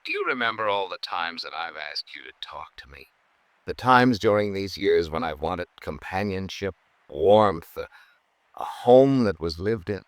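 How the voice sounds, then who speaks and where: An actor playing a resolute, embittered husband, deliberate and reproachful, in 1950s radio melodrama style. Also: male voice, sits low, lively intonation, no room sound. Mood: bitter. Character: bitter, resolute husband ending his marriage.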